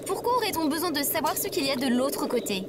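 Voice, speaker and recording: Loud noise surrounds a high-pitched, gloomy female robot from a comic audio drama, acted by a woman. She complains in a deadpan, sarcastic way, yet her pitch swings widely from line to line. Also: fast talker. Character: grumbling, pessimistic female robot companion, sarcastic and programmed. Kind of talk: scripted comedic audio fiction acting.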